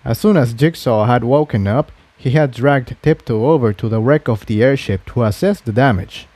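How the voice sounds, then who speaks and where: A man's voice: medium pitch, normal speed, a little mellow, no room sound. Man narrating a story, very dry recording. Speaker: fan fiction narrator.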